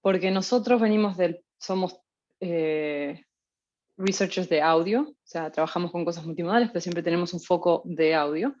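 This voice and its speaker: A woman in her thirties from Uruguay with a Uruguayan Spanish accent, giving an informal academic talk with relaxed fillers and restarts. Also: fairly low pitch, moderate pace, lo-fi sound, slight background noise. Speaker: guest lecturer, postdoctoral researcher.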